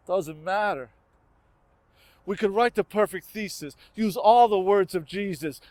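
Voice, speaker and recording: A man's voice: high voice, dry recording. A man performing rhymed spoken word poetry with a rhythmic, emphatic and earnest delivery.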